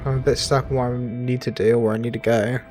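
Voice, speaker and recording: A man streaming a game, with a slightly raspy voice, rambling and thinking aloud into his desk mic. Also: mid-range voice, level tone, fast, darkish tone, faint music behind. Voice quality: a bit raspy. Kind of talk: casual live-stream commentary.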